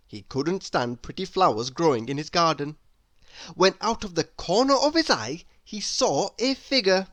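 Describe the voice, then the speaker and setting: A man reading a children's story aloud with dramatic, deliberate, expressive narration. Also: sits high, no room sound. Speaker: storybook reader.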